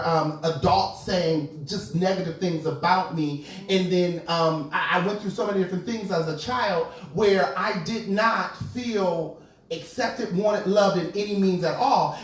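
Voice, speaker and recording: A man in his late thirties with a noticeably high voice talks earnestly about his own life in a group chat. He sounds set back from the mic, with a very echoey room around him. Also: recorded from a distance. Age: late 30s. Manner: earnest, personal storytelling. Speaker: panelist. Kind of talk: casual group discussion.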